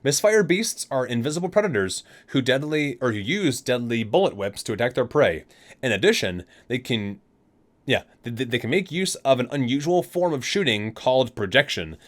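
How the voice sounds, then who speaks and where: A man's voice: mid-range voice, expressive, normal speed. A man hosting a gaming ASMR video, reading entries aloud and dropping into casual, amused asides.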